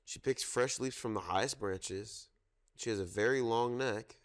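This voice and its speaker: A man reading a children's picture book aloud, pausing to prompt listeners for guesses. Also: medium pitch. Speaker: storybook reader at a children's story time.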